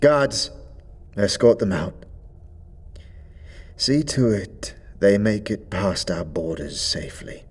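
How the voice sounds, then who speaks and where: A man's voice: lowish voice, sing-song, slow, pauses often, slightly rough, exaggerated voice. A man voicing a stern, righteous undead priest who speaks with solemn, imperious, archaic formality in a fantasy audio drama. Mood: grave, weary.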